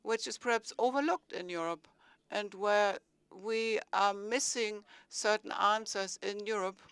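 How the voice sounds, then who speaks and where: A man in his forties with a German accent, speaking as a city mayor on a conference panel, measured and searching for words. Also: high voice, fairly bright tone, clean tone, dry recording.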